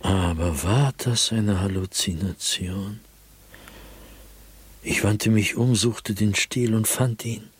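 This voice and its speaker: A brooding, haunted gentleman diarist, low-voiced. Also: slow, slightly rough, no echo, heavily acted. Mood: shaken, fearful. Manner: brooding, intense, theatrical, with sudden swings in emphasis. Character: haunted, agitated gentleman diarist, proud intellectual sliding into fear. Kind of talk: literary radio drama monologue, diary reading.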